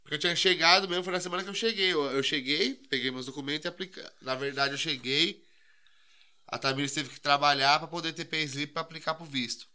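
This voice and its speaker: Fast-talking bright man. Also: on the high side, steady tone. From Brazil. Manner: slangy, rambling storytelling. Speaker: podcast co-host. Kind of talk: casual chat.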